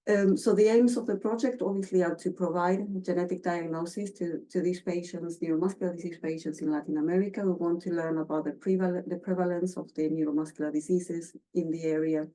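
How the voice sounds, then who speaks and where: Argentine lady giving a research talk, low mellow voice, lots of ums and restarts. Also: steady tone, low-quality recording. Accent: Argentine Spanish. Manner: halting, many fillers and restarts. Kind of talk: academic presentation.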